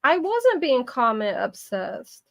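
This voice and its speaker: Lady cohost on a stream, higher voice, earnest and argumentative, dry close mic. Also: big pitch swings, slow, creaky, a bit bright, no room sound. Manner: earnest, argues a point at length. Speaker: podcast co-host. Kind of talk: casual livestream chat.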